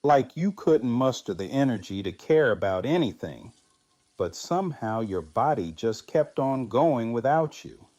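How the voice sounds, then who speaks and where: A man acts out a widowed survivor, haunted and reflective. He speaks very slowly and haltingly, in a subdued way, recorded close and dry for audio drama. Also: medium pitch, lively intonation, a little mellow, no room sound. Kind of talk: fan audio drama acting.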